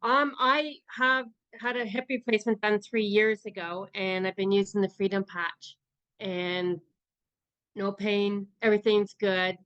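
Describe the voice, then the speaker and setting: Female participant, medium pitch, smooth and unfussy conversational delivery, lo-fi call sound. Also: fairly even intonation, measured pace, fairly bright tone. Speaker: meeting participant asking and sharing. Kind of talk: plain conversational question and testimonial. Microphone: video call. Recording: slightly dull video-call audio.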